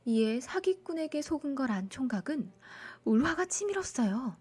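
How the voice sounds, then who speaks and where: A woman narrating a children's bedtime folktale in an expressive storytelling style. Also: sits high, normal speed, husky, soft background music.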